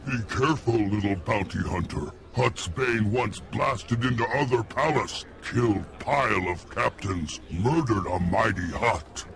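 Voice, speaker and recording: A servile alien henchman with a gravelly, crackling voice mocks in broken speech. He sits in a middle pitch, quiet and a little distant. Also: a little slow, hardly any pauses. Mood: sneering.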